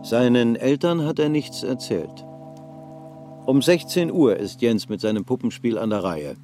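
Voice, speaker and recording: Male narrator, steady measured reading, faint music under, radio play. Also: medium pitch. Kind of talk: scripted narration.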